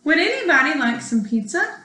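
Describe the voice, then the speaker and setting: A woman's voice: high voice, very animated, brisk, pushed and bright, roomy sound, voice pushed up, exaggerated voice. A woman voicing a polite, industrious little red hen in a storybook, with singsong, courteous requests. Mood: polite asking.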